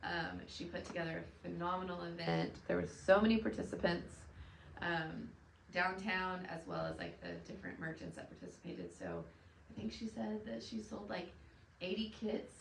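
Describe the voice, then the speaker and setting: A woman with an Oklahoma accent co-hosting a casual live local news chat; she has a softer voice, sounds upbeat and enthusiastic, and has a scratchy throat from a cold. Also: lowish voice, expressive, normal speed, gravelly. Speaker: city representative co-host. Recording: roomy sound, mic at a distance, faint background hum.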